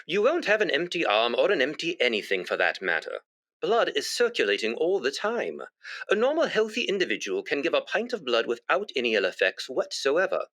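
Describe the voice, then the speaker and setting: An actor playing a brisk, patient doctor in a British radio comedy, formal, explanatory and reassuring. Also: male voice, fairly high pitch, wildly swooping pitch, fairly bright tone. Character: brisk, patient doctor persuading a blood donor.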